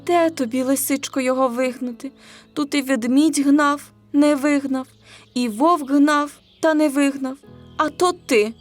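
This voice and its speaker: A woman's voice: fairly even intonation, measured pace, faint music behind. A woman voicing a small, sad male bunny who laments plaintively in a children's folk tale. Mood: tearful, doubtful. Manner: plaintive, high-voiced lamenting. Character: small, sad, tearful bunny driven from his home.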